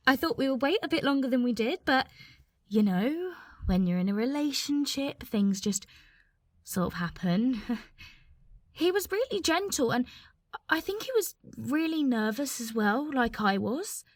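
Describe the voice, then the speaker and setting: A woman's voice: sits high, sing-song, unhurried, dry recording, clean studio sound, exaggerated voice. A woman playing a frank, giggly young woman confiding in friends in a naturalistic radio play. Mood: shy, confiding.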